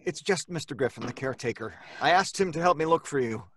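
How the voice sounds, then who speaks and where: A man's voice: fairly high pitch, animated, fast talker, a bit bright, dry recording, clean studio sound. A man playing a worried, sensible husband with an earnest, exasperated manner in an old-time radio drama. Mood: concerned.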